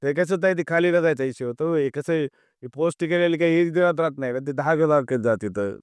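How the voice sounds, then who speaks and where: A man with a smooth, clear voice talks on a recorded phone call with a dry sound. He mediates informally, placating the other person and repeating himself without committing to either side. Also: fairly high pitch, brisk, no echo. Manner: placating, noncommittal, repetitive. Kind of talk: informal private phone conversation.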